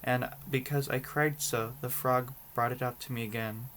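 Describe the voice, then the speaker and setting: A man's voice: medium pitch, steady tone, normal speed, fairly bright tone, a little hiss or hum, lightly acted, low-quality recording. A man voicing a frightened, reluctant young princess in a storybook read-aloud. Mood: anxious.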